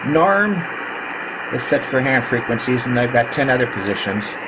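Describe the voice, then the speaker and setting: A man giving a casual, hands-on video walkthrough as a hobbyist, explaining technical gear in a matter-of-fact, halting way into a handheld camera. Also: mid-range voice, very animated. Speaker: ham radio hobbyist showing his equipment. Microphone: handheld camera microphone. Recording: clearly noisy handheld camera recording.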